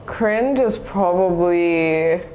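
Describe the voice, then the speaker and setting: A young woman from Zambia, a guest chatting casually in an interview, relaxed and laughing often, with many fillers. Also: on the low side, very slow, dull audio, a little hiss or hum. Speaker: guest, content creator.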